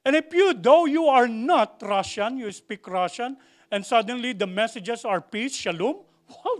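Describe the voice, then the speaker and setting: A man preaching a sermon to a congregation, repeating phrases for emphasis and asking the church questions. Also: high-pitched. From Philippines. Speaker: pastor.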